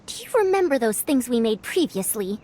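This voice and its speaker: A woman's voice: on the high side, sing-song, brisk, mellow, a little hiss or hum. A woman playing a bossy, scheming senior scholar in a video game, theatrical and old-fashioned in manner. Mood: conspiratorial. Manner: theatrical, commanding, conspiratorial. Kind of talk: video game character acting.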